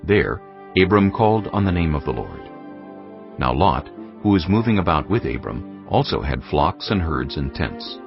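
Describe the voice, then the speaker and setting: A man narrating scripture in a measured, grave storytelling manner. The audio is dull and lo-fi. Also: a bass voice, very animated, vocal fry. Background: music.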